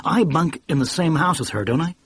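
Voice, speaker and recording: Male reader doing a hushed, hissing woman who turns loudly hearty; husky, dry, sing-song. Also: fairly high pitch, lively intonation, a little fast, dry recording, pitched above the performer's own voice. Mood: conspiratorial. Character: woman, brassy conspiratorial young housemate.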